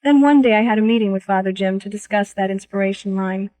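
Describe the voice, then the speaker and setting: A woman's voice: medium pitch, fast talker, warm tone. A woman reading a scripted, first-person audiobook introduction as its author.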